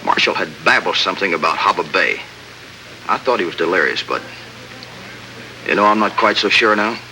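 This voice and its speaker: An actor playing a steady American lieutenant in a 1950s radio drama, crisp and matter-of-fact. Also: male voice, low-pitched, brisk, a little hiss or hum, exaggerated voice, rough recording. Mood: earnest, briefing. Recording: old radio recording, faint music.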